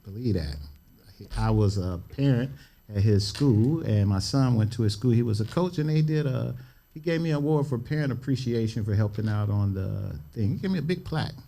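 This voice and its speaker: A man's voice: medium pitch, animated, creaky, dark tone. Laid-back man hosting. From Cleveland, Ohio. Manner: easygoing, slangy, drawn-out "man" tags. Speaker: podcast host. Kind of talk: casual interview chat. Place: studio.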